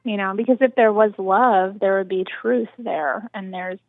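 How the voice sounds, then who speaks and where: An American woman calling in to a show, blunt and judgmental, speaking in a measured way. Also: mid-range voice, fairly even intonation, no room sound. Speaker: caller. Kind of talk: phone call-in chat. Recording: tinny phone line.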